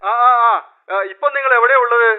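An actor playing an anxious man on the phone, talking in a hurried, eager way. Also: extremely high pitch. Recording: tinny phone line.

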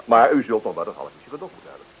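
An actor playing a courteous, hearty police inspector in a radio drama, polite and official. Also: male voice, lowish voice, sing-song, racing, slightly rough, exaggerated voice. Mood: amused, obliging. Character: brisk, courteous, grateful male police inspector. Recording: muffled, faintly hissing old radio recording.

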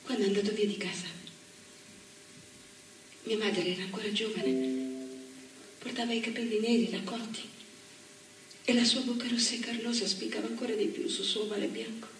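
A woman acting in a theatre monologue, playing a grown woman who was raised by her seamstress mother, speaking softly and reflectively. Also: mid-range voice, fairly even intonation, normal speed, long pauses, soft background music, close to an everyday voice. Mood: wistful. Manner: reflective storytelling, rising to firm declaration. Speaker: stage actress. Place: theatre stage. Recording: echoey stage recording from far off.

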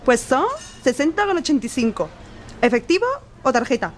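Woman acting a high, lilting supermarket cashier. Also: sing-song, fairly quick, a little hiss or hum. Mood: polite, scripted. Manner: formulaic customer-service politeness. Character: chirpy, scripted female supermarket cashier. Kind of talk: radio play acting.

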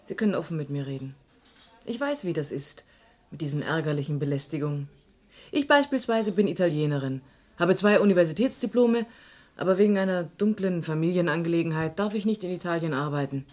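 An actress playing a composed, probing woman journalist in a radio crime drama, speaking in a deliberate, confiding way. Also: lowish voice, animated, darkish tone. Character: poised, probing Italian woman journalist living in exile.

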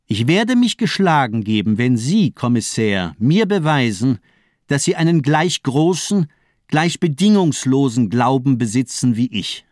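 Fanatical murderous doctor, swinging intonation. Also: male voice, medium pitch, sing-song, a little slow, darkish tone, dry recording, clean studio sound. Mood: cold, challenging. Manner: rhetorical, grandiose, declaiming. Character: fanatical nihilist doctor, cold male torturer and murderer. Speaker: actor in a crime radio play. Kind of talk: dramatic radio play monologue.

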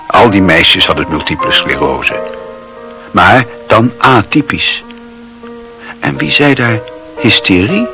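A man narrating a literary radio drama in a measured storytelling manner. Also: lowish voice, animated, gravelly, heavily acted. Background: music.

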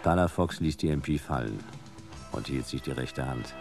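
A hard-boiled male detective with an extremely low, gravelly voice. He narrates a noir radio play in dry, clipped, deadpan phrases, with music clearly behind him. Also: normal speed. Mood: deadpan narrating. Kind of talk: noir first-person narration in a radio drama.

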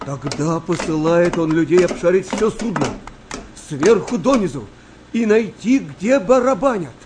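A man playing a skeptical, well-spoken wartime navy sailor telling tales with measured drama in a Russian radio play. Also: high-pitched, expressive, noisy background. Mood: suspenseful storytelling. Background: music behind the voice.

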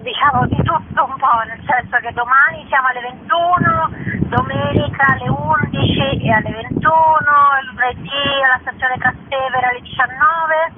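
A woman's voice: high voice, steady tone, moderate pace. A woman giving an informal interview as an event organiser, speaking a little hesitantly and searching for words. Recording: tinny phone line with clear background noise.

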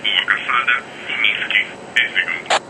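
A man's voice: lowish voice, unhurried, vocal fry. A man playing a formal, commanding squad leader in an audio drama, giving clipped orders over a military radio. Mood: composed, authoritative. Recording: very noisy crackling radio transmission.

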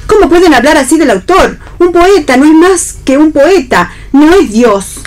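A woman's voice: high voice, expressive, moderate pace. A woman playing a pious, earnest Salvation Army preacher in a radio play reading.

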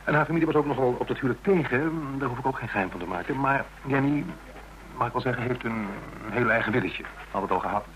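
An actor playing a formal, earnest, wordy legal adviser in a Dutch radio drama, hesitant and precise, with a Netherlands Dutch accent. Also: male voice, mid-range voice, expressive, fast talker, a bit bright, lightly acted. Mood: earnest, defensive. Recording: noisy, hissy radio drama recording.

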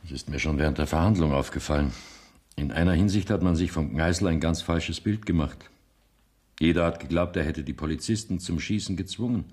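Senior judge for radio drama: low voice, formal, measured, earnest and conscientious. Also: male voice, a little mellow. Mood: grave sympathy. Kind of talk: radio play acting in standard German.

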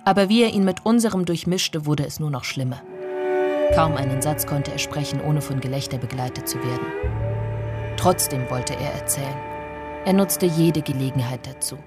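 An actress playing an observant neighbour who recalls a family, in measured literary radio drama narration. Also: female voice, sits low, close to an everyday voice. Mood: detached, descriptive. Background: music.

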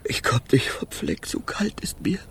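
An actor in a children's radio play voicing the Wind as a frozen, weary, timid wanderer, halting and plaintive with sighing, breathy speech. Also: male voice, whispered, lightly acted. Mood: exhausted. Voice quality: breathy, husky.

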